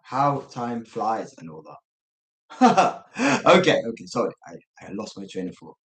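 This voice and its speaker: Man, English accent, casual live-stream host, chatty and rambling, unhurried delivery, animated intonation. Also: medium pitch, vocal fry, reverberant. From England. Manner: rambling, chatty, thinking aloud. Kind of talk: casual unscripted self-introduction to viewers.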